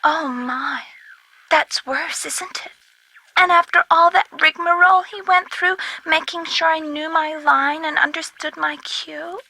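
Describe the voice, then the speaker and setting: A woman's voice: high voice, steady tone, a little slow, sharp tone, no echo, heavily acted, rough recording. A woman voicing a kindly, quaint elderly lady in a video game, genteel, wordy and fluttery. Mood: dismayed. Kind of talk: video game character dialogue.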